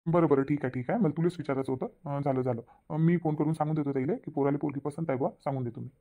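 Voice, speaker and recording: A man plays an easygoing, hasty middle-aged village father in a cartoon, rattling off his lines with a lilt and repeating himself. The sound is thin and phone-like, and he talks very fast. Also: a little high, fairly even intonation, close to an everyday voice. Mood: matter-of-fact. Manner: rapid, plain-spoken, repeats himself. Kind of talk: cartoon voice acting.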